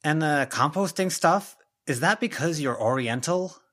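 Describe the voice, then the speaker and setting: A man's voice: a little high, expressive, measured pace, a bit bright, voice pushed up. An audiobook character, acted by a man: a brash, nosy woman whose voice sits well above the narrator's. She is blunt and pushy, dismissing others without tact. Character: brash, nosy, tactless short blonde woman.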